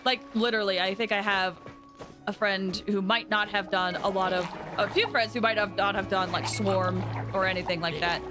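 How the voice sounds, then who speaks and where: A woman's voice: medium pitch, fairly even intonation, normal speed, over music. A woman livestreaming a game, chatting casually and informally with viewers in a rambling way. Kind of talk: casual chat with viewers while gaming. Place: livestream voice with game music behind.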